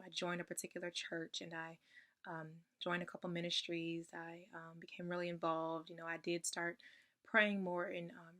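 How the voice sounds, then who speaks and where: A young woman getting over a cold, her voice slightly raspy, sharing her personal testimony in a soft-spoken way with frequent ums. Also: lowish voice. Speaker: ministry member sharing testimony.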